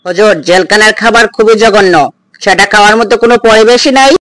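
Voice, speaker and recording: A man's voice: sits high, steady tone, fast, fairly bright tone, no echo. A man playing a cheeky male defendant who talks back in a comedy cartoon skit. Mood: cheeky, pleading.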